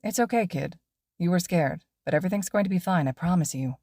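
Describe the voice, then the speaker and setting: A woman's voice: lowish voice, lively intonation, fast, a little mellow, dry recording, clean studio sound. A woman voicing a laid-back, cocky young man who speaks in an easygoing, soothing way.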